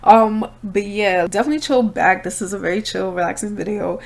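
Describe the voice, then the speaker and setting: Chatty young woman with gravelly voice. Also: mid-range voice, brisk. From Brooklyn, New York. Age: 20s. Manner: chatty, candid, slangy asides. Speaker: vlogger. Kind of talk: casual chat while doing makeup.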